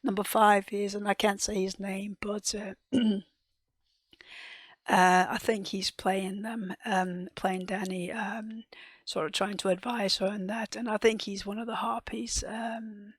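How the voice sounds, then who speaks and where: A woman reviewing a TV episode in casual chat, losing her voice, often clearing her throat and hesitating with ums. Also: medium pitch, little pitch movement, a little slow, no room sound. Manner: hesitant, many ums and restarts, soft half-whispered delivery. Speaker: TV reaction and review host.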